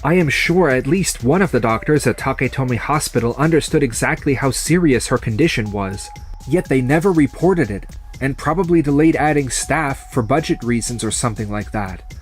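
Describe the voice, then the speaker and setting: A man playing a calm, resolute young surgeon turned farmer in a manga dub, speaking in a measured, firm way. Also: mid-range voice, soft background music, natural voice. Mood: firm, accusing.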